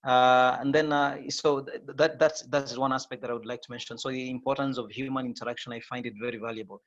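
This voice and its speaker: A man, a lecturer and TV host, speaking hoarsely with a flu, hesitant with many fillers and restarts. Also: medium pitch, fairly even intonation, fast talker, a bit bright, lo-fi sound. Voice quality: raspy. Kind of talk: panel discussion answer.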